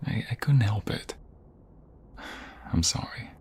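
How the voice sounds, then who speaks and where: A man playing a protective, tender husband in an ASMR roleplay, speaking softly and intimately, often near a whisper. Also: slow, a little hiss or hum, natural voice. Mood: gentle, sincere. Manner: soft, intimate, reassuring, often dropping to a whisper.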